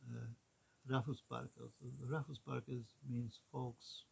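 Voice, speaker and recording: A man talks slowly, leaving long pauses between short phrases. His voice is gravelly and husky. He rambles casually, hunting for his words as he goes. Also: mid-range voice, flat delivery, darkish tone. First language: Swedish. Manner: halting, many pauses and fillers. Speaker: vlogger.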